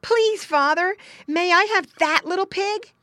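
Woman playing an eager, high-pitched little boy. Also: unhurried, pushed and bright, a little hiss or hum, heavily acted. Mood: eager, pleading. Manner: gushing, pleading. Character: eager, excitable young boy pleading for a pet pig. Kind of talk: children's storybook character voice.

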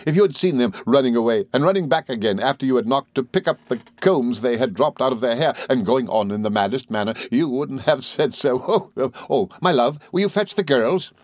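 A man voicing an exuberant, gushing, good-natured young gentleman in an audiobook, full of excited exclamations and repetitions. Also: a little high, normal speed, no echo, voice pushed up, natural voice. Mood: merry. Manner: effusive, exclaiming, repeats himself excitedly. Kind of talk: audiobook character voice.

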